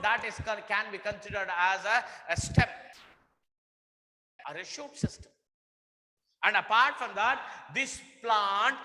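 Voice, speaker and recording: A man with an Indian English accent teaching biology in a bright, high voice. He repeats each point and keeps checking on his students. His voice rings out with a long reverb around it. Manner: repetitive, questioning students, mixes in Hindi. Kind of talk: online botany lesson.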